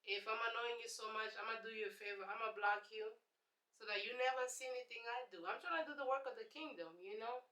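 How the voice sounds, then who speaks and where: A woman's voice: fairly high pitch, fast, pushed and bright, roomy sound, low-quality recording. A young woman from Congo with a Congolese accent, answering viewer questions in a casual, sassy vlog. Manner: chatty, sassy, preachy asides. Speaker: YouTube host.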